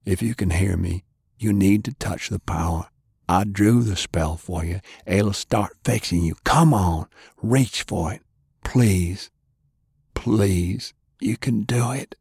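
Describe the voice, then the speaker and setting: Casting: male narrator as anguished tough man, hushed and pleading, audiobook drama, dry close sound. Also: deep voice, slow, creaky, slightly rough, no room sound, heavily acted. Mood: desperate pleading. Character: anguished big man pleading with his dying lover.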